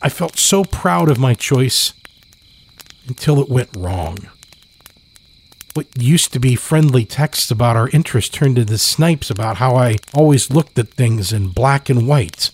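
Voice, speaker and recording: A man's voice: mid-range voice, lively intonation, measured pace, husky. A man reading scripted narration as a storyteller and podcast host.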